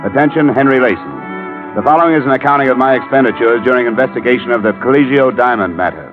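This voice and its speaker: An actor playing a brisk, hard-boiled American insurance investigator in a 1950s radio detective drama, clipped and matter-of-fact. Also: male voice, mid-range voice, natural voice. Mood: brisk, businesslike. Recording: tinny old radio recording, music behind.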